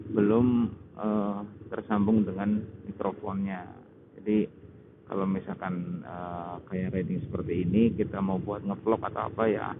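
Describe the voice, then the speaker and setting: Indonesian man, tinny and noisy helmet recording. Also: lowish voice. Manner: halting, searching for words, casual. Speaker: motovlogger reviewing gear. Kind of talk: unscripted spoken review while riding. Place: outdoors on a motorcycle in traffic. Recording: tinny phone-like helmet intercom, loud road noise. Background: loud vehicle and road noise.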